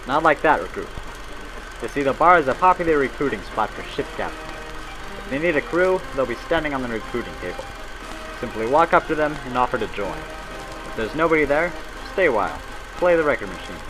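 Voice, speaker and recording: A man delivers scripted instruction in the manner of a 1940s navy training reel. His voice sits at a middling pitch, brisk and folksy as he explains things step by step. Music plays clearly underneath. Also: big pitch swings, rough recording. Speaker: training film narrator.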